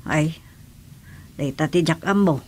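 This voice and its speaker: Actress as gentle older village mother. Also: low voice, takes it slowly. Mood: reassuring. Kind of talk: Ilocano radio drama acting, conversational.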